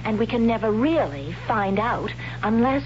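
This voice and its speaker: An actress playing a pensive young warrior woman in an old radio drama, with hesitant, drawn-out phrasing. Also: on the high side, animated, darkish tone, soft background music, lightly acted. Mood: pensive, wondering. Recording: muffled, noisy old radio broadcast with hum.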